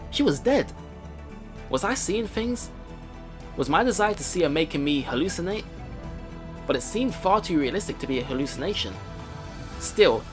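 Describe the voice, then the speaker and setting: A man hosting a let's play, reading game text aloud expressively and adding casual commentary. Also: fairly high pitch. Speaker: let's play video host. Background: game music.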